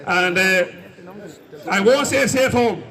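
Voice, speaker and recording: A man's voice: sits high, measured pace, recorded from a distance. An Irish man speaking to a protest crowd in a friendly, light way, thanking the police. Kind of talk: public address to a crowd. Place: outdoor street protest.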